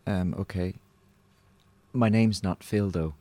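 A man's voice: deep voice, slow pace, warm tone, no echo. An actor playing a nervous, polite man, a hesitant and meek first-time contestant in an audio drama. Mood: nervous, unsure. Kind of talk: naturalistic audio drama acting.

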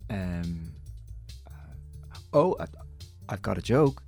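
A man's voice: deep voice, very animated, slow pace, vocal fry, warm tone, soft background music, heavily acted. An actor playing a naive, earnest everyman in an audio drama, speaking in a hesitant, questioning, natural way. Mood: nervous, earnest.

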